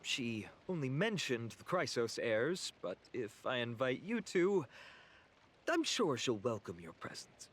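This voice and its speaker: An actor playing a courteous, earnest young warrior in a video game, polite, sincere and slightly hesitant, with a quietly troubled air. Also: male voice, mid-range voice, big pitch swings, unhurried. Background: background music.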